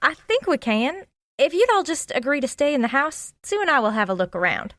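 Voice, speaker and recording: Sensible, highish-voiced sidekick sleuth. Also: female voice, big pitch swings, a little fast, a bit bright, dry recording, clean studio sound. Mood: placating. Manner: reasonable, conciliatory. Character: sensible, helpful female sidekick sleuth, quick to smooth things. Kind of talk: comic audio drama acting.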